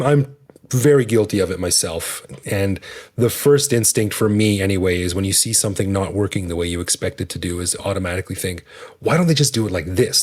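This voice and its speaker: A man with a low, husky voice co-hosts a podcast. He chats casually, cracks jokes and laughs easily. The tone is loose and conversational. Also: a little mellow. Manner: chatty, joking, laughs often. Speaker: podcast co-host.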